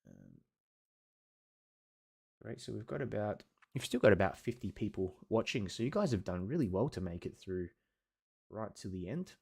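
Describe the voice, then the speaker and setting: Male live workshop host: lowish pitch, informal, encouraging, hesitant delivery with many pauses. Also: very animated, vocal fry, darkish tone, breathy edge. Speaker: watercolour teacher hosting a live workshop. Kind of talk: casual livestream chat reading and answering viewer comments.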